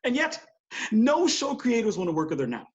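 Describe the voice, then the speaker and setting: A man's voice: high-pitched, huge pitch swings, normal speed, muffled recording. An actor playing a wry, emphatic male TV studio executive in a screenplay table read. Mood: exasperated, incredulous.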